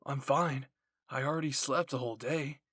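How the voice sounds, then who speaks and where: A man's voice: medium pitch, normal speed, fairly bright tone, slightly rough, no room sound, studio quality. A man voicing an intense, blunt and protective teenage boy soldier in an anime fan fiction roleplay. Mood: defensive.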